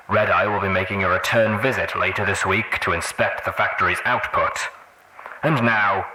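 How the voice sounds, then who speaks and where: Man doing a gravelly, low radio announcer. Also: background noise. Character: gravelly male pony radio announcer, regime mouthpiece.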